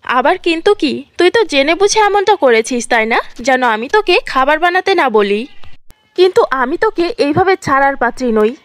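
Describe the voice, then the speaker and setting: A woman's voice: sits high, lively intonation, normal speed, no room sound. A woman voicing a cruel, scolding stepmother with a harsh, accusing manner in a cartoon fairy tale. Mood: angry, threatening.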